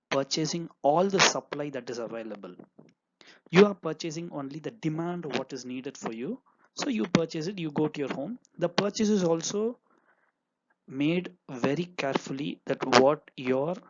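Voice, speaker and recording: A man's voice: mid-range voice, background noise. Earnest male teacher, Tamil native, lo-fi. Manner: earnest, repetitive, frequent fillers. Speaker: online economics teacher. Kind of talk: lecture with examples. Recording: dull lo-fi recording.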